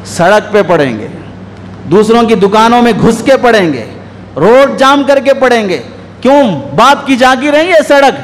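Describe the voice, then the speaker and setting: High-pitched male preacher in an echoey hall. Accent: Indian. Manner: scolding, exhorting with rhetorical questions. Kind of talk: sermon. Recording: echoey hall with long reverb.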